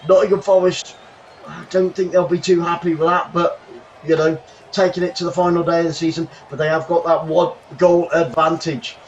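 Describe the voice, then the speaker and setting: A man hosting a live football watchalong from his bedroom, commentating the match and thanking viewers, getting excitable and shouting when chances come. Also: fairly high pitch, moderate pace, dull audio, slight background noise. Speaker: watchalong host. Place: home bedroom.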